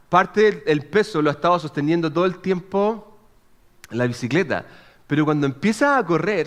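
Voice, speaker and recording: A man in his thirties from Chile with a Chilean accent, preaching in a chatty, animated way and checking in with his listeners as he tells a personal story. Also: on the high side. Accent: Chilean Spanish. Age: 30s. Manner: chatty and animated, frequent restarts and "¿ok?" check-ins. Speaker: pastor.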